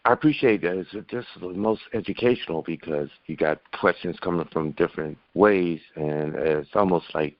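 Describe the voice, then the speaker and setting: Very deep-voiced folksy male caller. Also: moderate pace, few pauses, no room sound. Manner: folksy, jokey slang, hesitant. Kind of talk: casual rambling phone talk. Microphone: telephone. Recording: dull lo-fi phone line.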